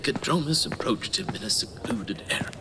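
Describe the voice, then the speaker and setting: An actor playing an absent-minded archivist recounting legends in a fantasy audio drama. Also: male voice, mid-range voice, lively intonation, moderate pace, noisy background, natural voice. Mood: sheepish, narrating.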